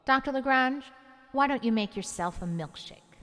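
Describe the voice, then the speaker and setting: Woman acting stern lady boss, brisk, authoritative, radio comedy, reverberant hall sound. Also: high-pitched, lively intonation, a bit bright. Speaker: actor. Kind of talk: comedic audio drama acting. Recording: long hall reverb, distant.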